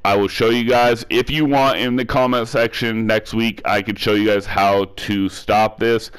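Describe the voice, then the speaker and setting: A man with a lowish, smooth voice, congested from a cold, narrates a video game tutorial. He repeats each step and restarts his words often, in a casual, instructive way. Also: steady tone, moderate pace, poor audio. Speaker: gaming tutorial creator.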